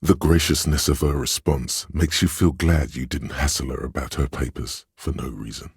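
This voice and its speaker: Super deep gravelly game narrator, sly voice in your head nudging you into schemes, coaxing and measured, really dry sound. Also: male voice, steady tone, no room sound, heavily acted. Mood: scheming, suggestive. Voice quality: gravelly, husky bass. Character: smooth, sly, scheming inner voice of persuasion, male. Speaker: video game voice actor. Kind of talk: scripted second-person game narration.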